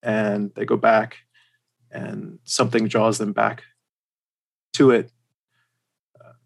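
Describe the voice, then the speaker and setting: A man with a general American accent, chatting casually as a podcast guest in a hesitant, halting way. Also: on the low side, level tone, creaky. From United States and Germany. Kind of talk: casual chat.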